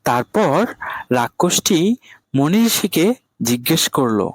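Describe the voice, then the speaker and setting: Fairly high-voiced male storyteller. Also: sing-song, slow, dry recording. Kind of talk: scripted cartoon fable narration.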